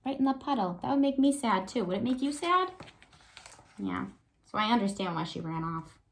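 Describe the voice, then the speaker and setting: A woman's voice: mid-range voice, animated, moderate pace, vocal fry. A woman teacher giving a read-aloud lesson to young children, explaining simply with encouraging questions and frequent check-ins. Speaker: elementary school teacher.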